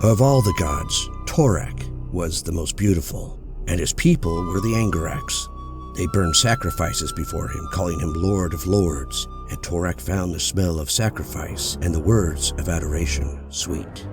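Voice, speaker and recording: A man narrating a fantasy audiobook in a measured, solemn storytelling manner. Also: low-pitched, wildly swooping pitch. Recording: loud music bed under voice.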